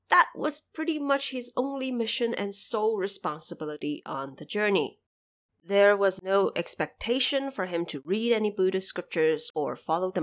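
A woman hosting a podcast, giving explanatory, lightly scripted commentary in a measured, didactic way with emphatic phrasing. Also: medium pitch, fairly bright tone. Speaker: podcast host explaining background of an audio drama series.

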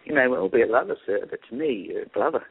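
A man voicing a spirited young farmer's daughter who trades teasing, quick-witted banter. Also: medium pitch, very animated, gravelly, slightly rough, heavily acted. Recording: tinny phone-like line.

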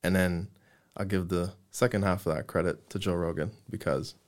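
A young man hosting a casual podcast interview, relaxed and friendly, swearing now and then. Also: sits low, normal speed, a little hiss or hum. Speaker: podcast host.

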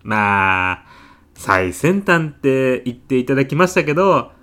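A man's voice: medium pitch, sing-song, unhurried, bright, forceful tone. A man playing a polite, talkative, self-important dad in a comedic audio drama. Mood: earnest, proud. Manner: polite, talkative, matter-of-factly proud. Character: earnest, self-important stay-at-home-working dad, celebrity gossip writer.

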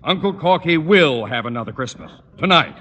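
A man's voice: fairly high pitch, lively intonation, moderate pace, a bit bright. An actor playing a blustery, pompous middle-aged father in an old-time radio sitcom, theatrical and grandly sarcastic. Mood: scheming, grand. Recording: noisy vintage radio recording.

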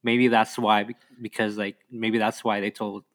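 A man's voice: fairly low pitch, flat delivery, normal speed, fairly bright tone. A man chatting casually as a podcast guest. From Indonesia. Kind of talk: casual chat.

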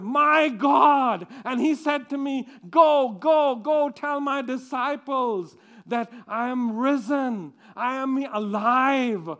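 A man's voice: very high voice, a bit bright, voice pushed up. A man playing Mary Magdalene as a disciple who mourns and then rejoices, telling it in first person with many repetitions. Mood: exultant. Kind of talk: dramatic monologue for a congregation.

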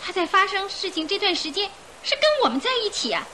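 A woman's voice: very high voice, lively intonation, quick pace, bright, forceful tone, a little hiss or hum, full character voice. An actress playing a gossipy, suspicious woman passenger in a Chinese radio drama, chatty with emphatic interjections. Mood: puzzled.

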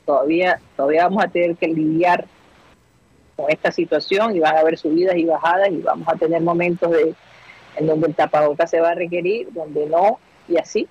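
Husky-voiced woman co-host, low register. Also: steady tone, fairly quick, a little mellow, lo-fi sound. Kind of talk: casual radio chat.

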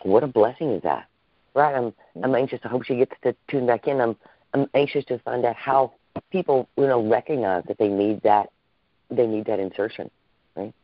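A man's voice: medium pitch, moderate pace, no room sound. A man hosting a podcast interview, effusive and gushing, often repeating himself. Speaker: podcast host. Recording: dull lo-fi call audio.

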